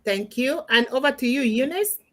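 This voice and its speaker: A woman's voice: high-pitched, expressive, moderate pace. A middle-aged woman from Cameroon hosting a live online panel, chatting to viewers and handing over to guests. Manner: chatty, warm asides to viewers. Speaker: host of a live panel show.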